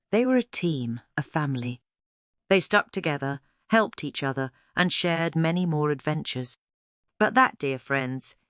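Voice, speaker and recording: A woman's voice: low-pitched, clean tone, no room sound, clean studio sound. A woman reading a scripted children's story aloud as its storyteller.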